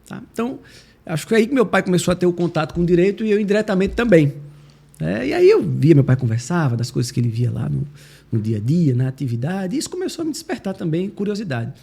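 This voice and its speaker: A man with a Northeastern Brazilian accent from Recife, answering interview questions in a reflective, halting, conversational way. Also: high-pitched, sing-song, creaky, mellow, breathy edge. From Recife, Pernambuco, Brazil. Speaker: podcast guest, judge and law professor.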